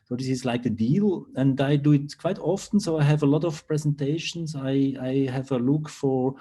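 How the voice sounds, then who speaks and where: A man's voice: mid-range voice, moderate pace, dark tone, breathy edge. A man with a Swiss accent giving an online conference presentation over a video call, hesitant and full of fillers and restarts. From Switzerland. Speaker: university lecturer.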